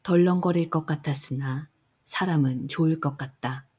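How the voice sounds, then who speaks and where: Soft-spoken woman for a sleep audiobook, husky and calm. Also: sits low. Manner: soft and unhurried. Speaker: audiobook narrator. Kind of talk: calm bedtime audiobook reading.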